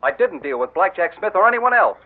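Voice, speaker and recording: An earnest young son, defensive and quick to protest. His pitch swings widely, heard over a thin, tinny old radio broadcast. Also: very animated. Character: indignant young man accused of betrayal. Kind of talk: 1940s radio acting.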